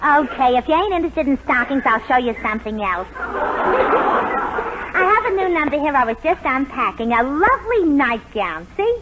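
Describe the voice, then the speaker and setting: A woman's voice: sits high, big pitch swings, moderate pace, over a noisy crowd. An actress playing a brassy shopgirl in a 1940s radio comedy, with a mock French accent slipping into slangy working-class American and affected, wisecracking airs. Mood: brisk, wisecracking. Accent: mock French over working-class American. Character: brassy shopgirl posing as a fancy French mademoiselle. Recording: muffled, noisy 1940s radio recording with audience.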